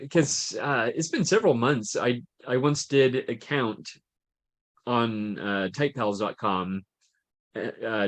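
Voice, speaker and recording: A man hosting a casual live-stream chat, halting and repeating words as he talks. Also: mid-range voice, fairly bright tone, dry recording. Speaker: host.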